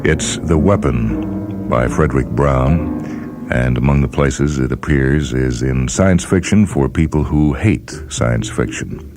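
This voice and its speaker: A man hosting and reading a story as scripted dramatic narration, in a deliberate, measured manner. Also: a bass voice. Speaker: host and story reader. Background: music under the voice.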